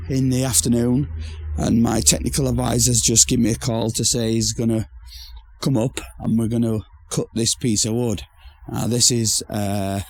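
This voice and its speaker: A hoarse man who has nearly lost his voice, chatting casually to camera about his outdoor building project with rambling restarts. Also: lowish voice, a little mellow, a little hiss or hum. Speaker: vlogger building a pizza oven shelter. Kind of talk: casual to-camera DIY chat. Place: outdoor building site in a garden.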